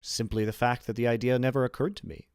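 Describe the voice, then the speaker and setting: A man's voice: mid-range voice, steady tone, quick pace, darkish tone, clear, resonant tone, no echo, studio quality, natural voice. A man voicing an earnest younger prisoner, formal and full of questions, in an audiobook reading. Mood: surprised, frank. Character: earnest, astonished younger male prisoner, eager to learn.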